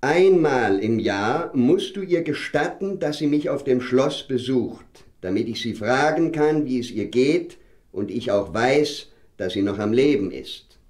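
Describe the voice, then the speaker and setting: A man's voice: medium pitch, expressive, a little slow, a little mellow, close to an everyday voice. An actor playing a solemn, worried king and father in a fairy-tale radio play, speaking formally and declaiming. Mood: resigned, grave.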